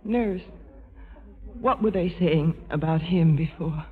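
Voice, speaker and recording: An actress playing a brave, gentle injured woman, earnest and restrained, in a 1950s radio drama. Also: sits low, slow pace, husky, exaggerated voice. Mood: anxious, brave. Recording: thin phone-like vintage radio broadcast.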